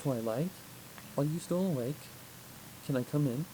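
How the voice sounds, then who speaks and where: A man voicing a gentle, concerned grown female pony princess with a formal manner in an audiobook. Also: fairly high pitch, moderate pace, close to an everyday voice. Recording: clearly noisy recording.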